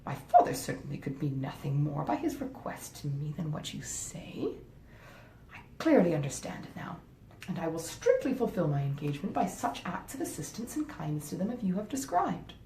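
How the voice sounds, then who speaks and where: A woman reading a classic novel aloud as a pompous, easily persuaded, miserly gentleman husband, measured and formal. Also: very animated. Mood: agreeable, pompous. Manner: measured, self-satisfied, formal. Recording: roomy echo, faint rumbling noise.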